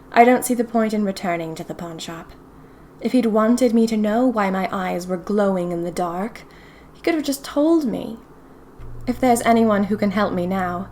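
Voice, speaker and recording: A woman's voice: medium pitch, darkish tone, slight background noise, close to an everyday voice. A woman playing a young 1920s typist who confides eerie happenings to her diary in a chatty, dramatic, wry way. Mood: suspicious, then musing, curious. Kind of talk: first-person audio fiction diary narration.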